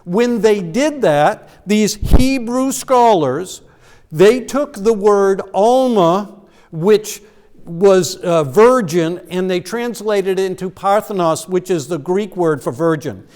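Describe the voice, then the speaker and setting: A man's voice: sits high, takes it slowly, a little mellow. A man preaching as pastor, explaining scripture in a conversational, teaching way with fillers and restarts. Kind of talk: sermon. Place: church sanctuary. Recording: long church reverb.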